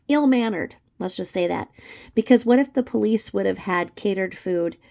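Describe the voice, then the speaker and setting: A woman's voice: lowish voice, creaky, a little mellow. A woman giving unscripted, scornful and emphatic opinion commentary as the host of her own channel.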